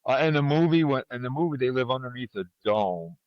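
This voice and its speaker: A man's voice: mid-range voice, expressive, fast talker, dry recording. A man hosting a casual trivia chat, talking conversationally to his panel over a slightly dull microphone. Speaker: podcast host running a trivia quiz.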